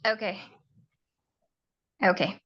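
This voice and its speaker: A woman's voice: medium pitch, fairly even intonation, slow pace, a bit bright, dull audio. A woman taking part in a speaking workshop over a video call, hesitant and apologetic as she reads. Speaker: workshop participant.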